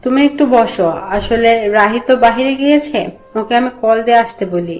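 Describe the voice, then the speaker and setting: A woman's voice: on the high side, expressive, moderate pace, soft background music, natural voice. A woman playing a welcoming, hospitable middle-aged auntie in an acted audio story.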